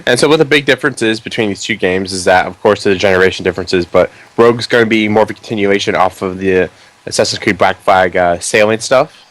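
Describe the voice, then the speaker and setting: एक युवा आदमी, podcast host, मज़ाकिया और बेबाक अंदाज़ में खुलकर गालियाँ देते हुए बात करता है।. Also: male voice, lowish voice, quick pace, fairly bright tone. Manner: brash, joking, swears freely. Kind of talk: casual chat.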